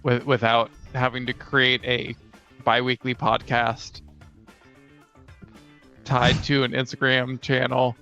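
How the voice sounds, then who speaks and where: A man's voice: medium pitch, flat delivery, slow pace, pauses often, sharp tone, lo-fi sound, faint music behind. A man co-hosting a casual tabletop gaming podcast, feeling his way through sentences with repeated phrases. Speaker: podcast co-host.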